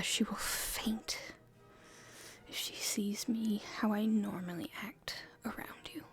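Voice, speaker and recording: Girl acting as a stifled young princess, asmr roleplay, soft whispery voice, slow and formal, music behind. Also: medium pitch. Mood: anxious, confiding. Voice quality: soft, breathy, often whispered. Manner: formal, polite, hesitant. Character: proper young princess at her debut, stifled, secretly rebellious.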